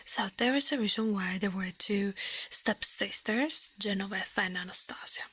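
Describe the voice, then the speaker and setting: Breathy whispering ASMR woman, very close. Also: mid-range voice, normal speed, sharp tone, no echo. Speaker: livestream host. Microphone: close microphone.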